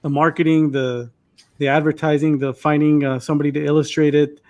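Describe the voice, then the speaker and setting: A man talking casually as a panelist, halting as he goes and dropping in short quoted remarks. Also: fairly high pitch, level tone, a little mellow. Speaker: children's author, English teacher, panelist. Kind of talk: casual chat.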